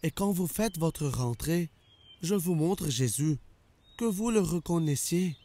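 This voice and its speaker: An actor playing a servile, nervous traitor disciple in a cartoon. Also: male voice, fairly high pitch, lively intonation, unhurried, dark tone. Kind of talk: animated cartoon acting.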